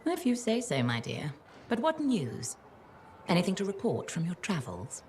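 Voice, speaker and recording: Grandly formal, low-voiced woman chronicler. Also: wildly swooping pitch, moderate pace, a little mellow, a little hiss or hum. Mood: absent-minded. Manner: theatrical, genteel, wordy phrasing. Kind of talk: video game character dialogue.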